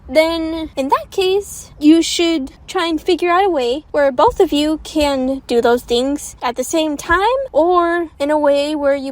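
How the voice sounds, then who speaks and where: A young woman hosting her own channel, chatting earnestly and directly to viewers as she gives relationship advice. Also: high-pitched. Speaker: channel host. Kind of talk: casual advice monologue.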